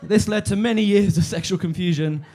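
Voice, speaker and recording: A man in his twenties doing a deadpan stand-up set on a comedy club stage, halting with fillers and restarts. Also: sits high. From London. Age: 20s.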